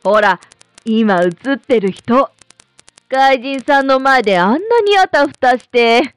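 Casting: woman to play a talkative housewife and mother in a radio drama, expressive melody, motherly, gently needling. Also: on the high side, a little slow, clear, resonant tone, no echo, clean studio sound. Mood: teasing. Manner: motherly, homely, teasing. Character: chatty, teasing, placating Showa-era housewife and mother.

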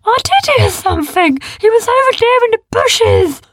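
A woman's voice: very high-pitched, animated, normal speed, no echo, clean studio sound, voice pushed up. A woman doing jumpy, frightened horned beasts. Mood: panicky. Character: timid big furry horned beasts, jumpy and fearful.

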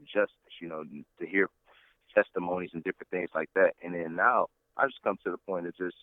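A man with a very deep, low voice comes through a thin, tinny phone line. He talks in a rambling, preacherly way, full of fillers and restarts, and the sound is close and dry with no room around it. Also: fairly even intonation, fairly quick, dry recording. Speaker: interview guest. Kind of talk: casual interview talk.

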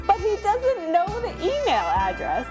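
A woman's voice: extremely high pitch, huge pitch swings, a little slow, over music, heavily acted. A woman playing an exasperated, melodramatic diner giving a theatrical, aggrieved reading of a scathing review. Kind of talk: dramatic scripted reading over music.

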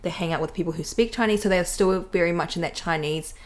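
A young woman speaks in a slightly low voice as a podcast co-host. She explains her ideas casually and a little tentatively, feeling her way through each point. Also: quick pace. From New Zealand, grew up there. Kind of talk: casual chat.

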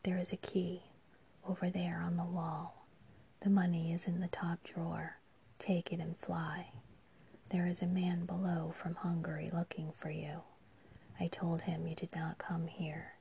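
An honest young peasant woman pleads in a hushed, urgent whisper, as a female storyteller gives her voice. The whisper sits low and mellow with little rise and fall. A faint hum runs underneath. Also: completely flat delivery, measured pace, creaky. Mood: urgent pleading.

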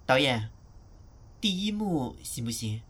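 A man's voice: medium pitch, big pitch swings, slow, a bit bright, full character voice. A man playing a naive, eager young newcomer actor in a Chinese audio drama, sounding youthful and tentative.

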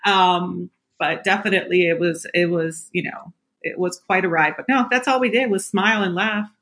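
A woman guest on a podcast who tells her story in an animated way and laughs easily. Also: fairly low pitch, normal speed. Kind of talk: casual podcast conversation.

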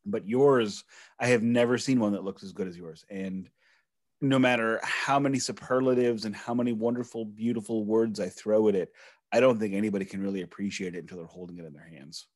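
A man's voice: lowish voice, fairly even intonation, fairly quick, no echo. A man co-hosting a podcast, chatting enthusiastically with a joking touch. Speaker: podcast co-host. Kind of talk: casual podcast chat.

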